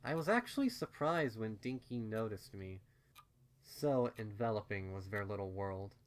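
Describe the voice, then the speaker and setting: Lively man narrating a story. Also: on the low side, moderate pace. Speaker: fan fiction reader.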